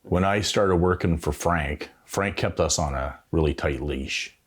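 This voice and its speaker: Deep-voiced Canadian man in his fifties reminiscing. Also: a little slow, slightly rough. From Ontario, Canada. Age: 50s. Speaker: veteran tattoo artist, interview guest. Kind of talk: casual reminiscing, storytelling.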